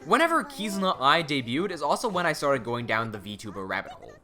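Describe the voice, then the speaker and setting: A man's voice: a little high, big pitch swings, quick pace, over loud music. A young man whose first languages are English and Cantonese, talking candidly and self-mockingly in a casual vlog, recorded in a small room. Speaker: language-learning YouTuber.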